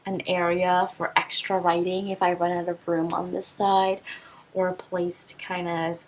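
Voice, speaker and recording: A woman in her twenties, a teacher, talking through a stationery unboxing in a relaxed, rambling way full of fillers and restarts. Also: on the low side, a little slow, poor audio. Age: 20s. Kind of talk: casual unboxing vlog.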